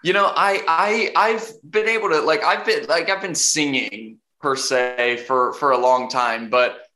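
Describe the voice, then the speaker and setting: Young man, deep voice, bright tone, hesitant, roomy echo. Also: measured pace. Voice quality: fairly deep voice. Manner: hesitant, many restarts and fillers, laughs easily. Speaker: actor being interviewed. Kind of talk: casual interview answers.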